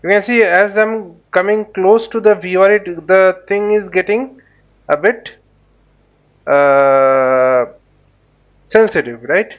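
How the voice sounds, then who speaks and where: A man giving an informal flight simulator tutorial, explaining haltingly as he thinks aloud. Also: sits high, muffled recording. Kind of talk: informal tutorial commentary while flying a simulator.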